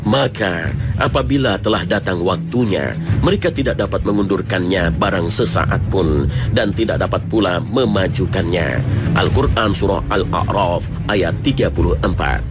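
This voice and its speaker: A man narrating a scripted Islamic television programme in a measured, formal, declamatory way. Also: low voice. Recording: music behind the voice. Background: loud background music.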